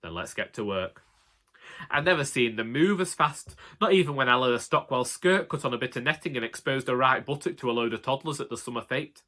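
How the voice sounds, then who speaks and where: Bright, wry Northern English male storyteller. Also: medium pitch, quick pace, few pauses. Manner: colloquial, wry, exasperated. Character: put-upon, indignant older husband, chatty storyteller. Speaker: audiobook narrator. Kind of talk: comic first-person monologue in character.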